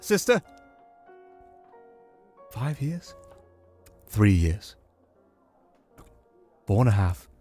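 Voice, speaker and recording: A man's voice: mid-range voice, lightly acted. A man playing a nervous, eager-to-please nobleman who stammers and fawns in an audio roleplay drama. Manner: stammering, fawning, anxious.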